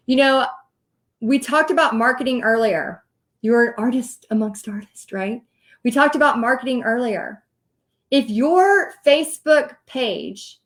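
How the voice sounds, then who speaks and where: A middle-aged woman with a Texan accent, talking straight to her viewers like a coach on a casual livestream. Her voice sits high, and she chats along directly and laughs often. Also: a bit bright. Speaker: host teaching paint party business.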